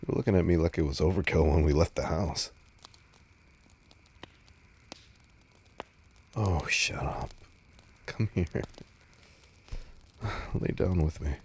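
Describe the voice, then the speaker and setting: A man playing a nervous, tender vampire in an intimate audio roleplay, speaking softly and hesitantly to the listener as he resists his hunger. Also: low-pitched, normal speed, long pauses, a little hiss or hum. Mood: tender, teasing. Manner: hesitant, stammering, soft-spoken.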